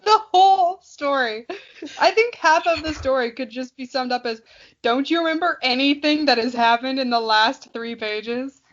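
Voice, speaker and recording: A woman's voice: high voice, normal speed, fairly bright tone, lo-fi sound. A sarcastic, self-deprecating, giggly woman co-hosting a podcast with casual comic banter. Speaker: podcast co-host.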